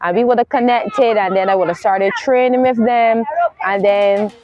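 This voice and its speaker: A woman's voice: medium pitch, steady tone, moderate pace, a little mellow, dull audio. A woman from Barbados with a Caribbean accent, telling her story casually as an interview guest and often correcting herself as she goes.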